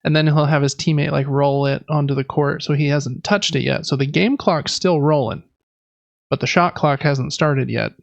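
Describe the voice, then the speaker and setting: Chatty man, higher-pitched voice, slightly muffled. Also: steady tone, moderate pace, gravelly, no room sound. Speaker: podcast co-host. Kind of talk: casual chat.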